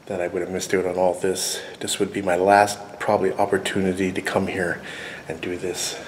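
A man's voice: deep voice, flat delivery, brisk. A man in his forties casually narrating to viewers as he explores, talking informally as host. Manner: informal, addressing viewers directly. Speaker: urban exploration vlogger, host. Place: inside an abandoned church, empty rooms and halls. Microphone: handheld camera at arm's length. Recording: noisy handheld camera audio in empty building.

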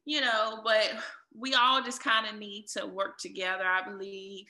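A woman speaks as a guest in a casual interview. Her voice is strikingly bright and sharp, at a middling pitch. She talks in an encouraging, motivational way, and the sound is noticeably echoey. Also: level tone, unhurried. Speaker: interview guest, air traffic controller and DJ. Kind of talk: casual conversational interview answers.

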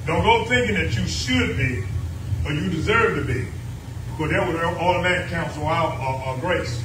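Southern pastor preaching in a roomy church. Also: male voice, a little high, normal speed, noisy background. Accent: Southern American. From United States. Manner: preaching, rising to shouts of praise, repeats phrases. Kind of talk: call-and-response sermon. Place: small church sanctuary, indoors. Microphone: handheld mic, filmed from the pews. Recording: roomy church sound from a distance with music behind.

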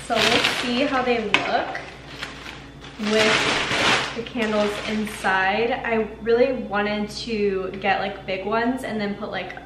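A young woman in her twenties chatting casually as she vlogs about decorating her apartment, thinking out loud with lots of filler words. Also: medium pitch, fairly even intonation, slow pace, noisy background. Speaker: lifestyle vlogger. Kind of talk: casual vlog chat to camera. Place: apartment balcony, car and kitchen.

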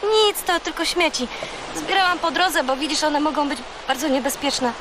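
High-voiced earnest young girl. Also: moderate pace, noisy background. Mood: earnest, excited. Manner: eager, childlike. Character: thirteen-year-old girl, brave, earnest and imaginative. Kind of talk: children's audio drama acting.

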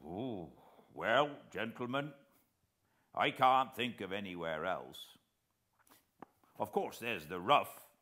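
A man's voice: on the low side, animated, slow pace, long pauses, bright, forceful tone, pitched above the performer's own voice, heavily acted. A British man voicing a respectful, musing old coast guard officer in a storybook reading. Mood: helpful. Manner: deferential, musing, helpful.

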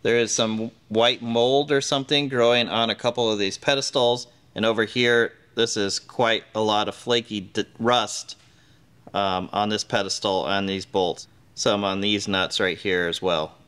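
A man's voice: fairly low pitch, steady tone, unhurried, fairly bright tone, clear, resonant tone. Matter-of-fact man explaining in his garage. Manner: plain, matter-of-fact explaining while working. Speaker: host. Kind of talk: hands-on workshop demonstration. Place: large garage workshop, indoors.

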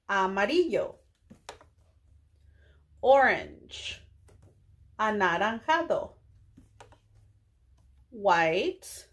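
Very slow-speaking young woman teaching small children. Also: medium pitch. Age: young adult. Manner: deliberate, enunciated, questioning teacher talk for small children. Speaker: preschool teacher. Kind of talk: children's lesson, addressing kids, English with some Spanish words.

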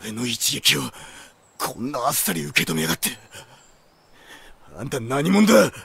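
An actor playing a cocky, hot-blooded young warlord in an audio drama, husky and brash, with curt slang and English catchphrases. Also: male voice, medium pitch, sing-song, moderate pace, a bit bright, heavily acted. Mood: irritated. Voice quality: husky, rough. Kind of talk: Japanese anime-game audio drama acting.

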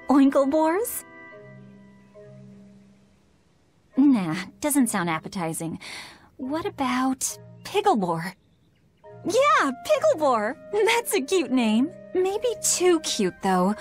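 A woman voicing an earnest, spirited teenage village huntress in a video game, expressive in her delivery. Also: high voice, slow, full character voice. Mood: playful musing. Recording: music under the dialogue.

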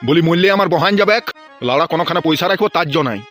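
A man's voice: fairly high pitch, very fast, close to an everyday voice. A man voicing a crude, scolding old village grandfather in a comedy cartoon, with a rural West Bengal accent. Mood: angry, threatening. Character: grumbling, foul-mouthed old village grandfather on a pension. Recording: music behind the voice.

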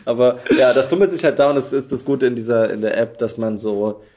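A man's voice: mid-range voice, fairly quick, darkish tone, slight background noise. A man in a casual podcast chat, talking in an informal, chatty, colloquial way as the host.

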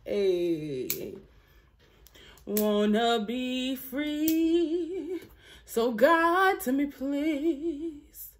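A young woman with a deep alto voice practicing a song in her bedroom, singing along to a track and chatting to her viewers between lines. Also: sing-song, very slow, pauses often. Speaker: vlogger learning a song. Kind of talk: casual singing practice vlog. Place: small bedroom indoors. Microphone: phone camera held close.

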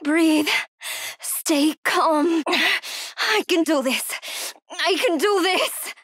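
Scared young woman in a horror game, really slow urgent self-talk, very dry close sound. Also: very high-pitched, fairly bright tone, dry recording, full character voice. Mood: pained, determined. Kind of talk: video game horror voice acting.

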